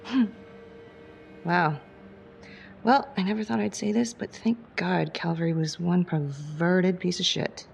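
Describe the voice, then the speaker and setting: Casting: hard-bitten female detective, sardonic and blunt, lowish voice with fry, crime-thriller game. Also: measured pace, long pauses, darkish tone, a little hiss or hum. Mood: intent, muttering. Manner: blunt, sarcastic, muttering.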